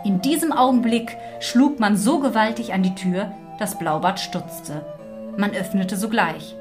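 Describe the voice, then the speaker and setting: Female narrator, mid pitch, scripted fairy-tale storytelling, music behind. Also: unhurried.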